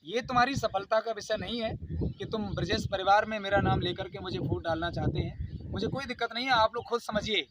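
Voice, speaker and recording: A man's voice: high voice, a little fast, very noisy. A man giving an unscripted video statement, speaking in an aggrieved, insistent, pleading and repetitive way. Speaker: YouTube channel host.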